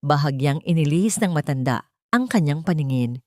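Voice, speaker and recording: Woman narrating drama, low-pitched. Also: animated, a little fast, dry recording, studio quality. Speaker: story narrator. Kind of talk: dramatic scripted story narration.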